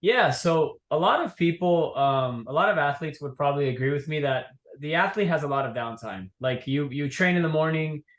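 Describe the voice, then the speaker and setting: A young man from Miami, a guest entrepreneur, telling his story in a relaxed, easygoing way. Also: on the high side, moderate pace, few pauses, reverberant. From Miami, USA. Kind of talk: casual interview.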